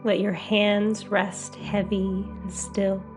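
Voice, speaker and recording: A woman guiding a meditation as a hypnotherapist, with a soothing, gentle, unhurried delivery. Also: mid-range voice, flat delivery, music in the background. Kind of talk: guided meditation.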